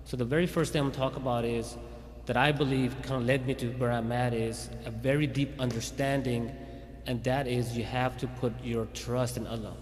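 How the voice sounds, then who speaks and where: A police chief giving an informal talk at a community event, speaking conversationally and often restarting sentences and checking in with "okay?". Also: male voice, mid-range voice, fairly even intonation, brisk, a little hiss or hum. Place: Islamic center hall. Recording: reverberant hall from a livestream.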